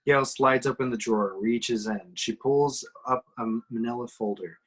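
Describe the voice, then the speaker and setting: A man's voice: mid-range voice, normal speed, breathy edge, low-quality recording. Man narrating a horror screenplay. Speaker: reader of action descriptions. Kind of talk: scripted screenplay narration at a table reading.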